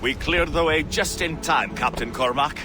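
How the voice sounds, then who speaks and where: Man playing a careful formal ship officer, fairly high voice, loud music and cannons behind. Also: normal speed, lightly acted. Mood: relieved. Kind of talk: video game acting.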